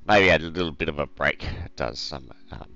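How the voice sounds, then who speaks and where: A man with a croaky voice hosting a let's play, casually thinking aloud over a strategy game with lots of ums. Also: extremely low pitch, brisk, pushed and bright, highs cut off.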